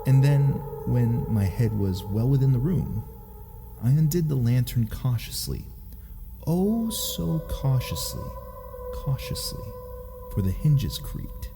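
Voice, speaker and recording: Guy doing a creepy mad killer telling his tale, real slow and theatrical, sly and boastful, music behind. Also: medium pitch, wildly swooping pitch, creaky, pitched above the performer's own voice, exaggerated voice. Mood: tense, creeping. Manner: theatrical, halting, drops into whispers and chuckles. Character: mad murderer narrator, sly, gloating, nervously boastful. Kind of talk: dramatic gothic horror storytelling.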